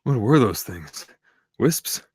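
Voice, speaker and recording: A man playing a reluctant, weary former soldier in a fantasy script read, hesitant and low-key. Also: big pitch swings, slow, darkish tone, husky, no room sound, clean studio sound, heavily acted. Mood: subdued.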